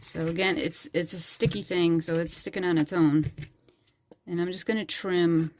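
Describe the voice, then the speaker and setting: A woman with a sore, hoarse throat, casually talking through a craft tutorial in a small bedroom craft corner, rambling with lots of fillers. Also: lowish voice, steady tone, normal speed, slight background noise. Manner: rambling and chatty, with frequent fillers. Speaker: crafting channel host. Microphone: phone camera.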